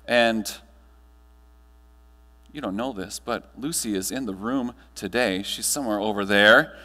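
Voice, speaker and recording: A man giving a motivational keynote to a live audience, telling stories with dramatic pauses and emphatic delivery. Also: lowish voice, sharp tone. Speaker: keynote speaker. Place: large room, live audience, amplified. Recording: echoey hall, long reverb.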